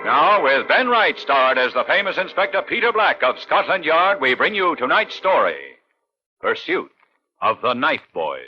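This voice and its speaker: A man's voice: on the high side, very animated, normal speed, slightly rough. A man announcing a 1950s radio drama in a dramatic, portentous manner. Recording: muffled old radio broadcast. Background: dramatic music.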